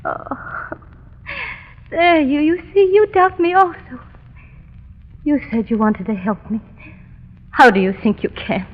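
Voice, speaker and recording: A woman's voice: sits high, slow, long pauses. An actress playing a frightened young woman thought to be mad, speaking anxiously in a 1930s radio drama. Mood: hurt. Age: young adult. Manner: anxious, trembling. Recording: dull, echoey old radio recording.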